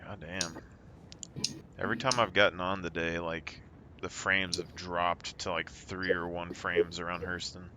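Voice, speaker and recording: A man's voice: low voice, level tone, a little slow. A man streaming a game, chatting casually with a friend in an easygoing, rambling way. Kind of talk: casual gaming chat. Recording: noisy game audio behind. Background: game sounds and ship ambience.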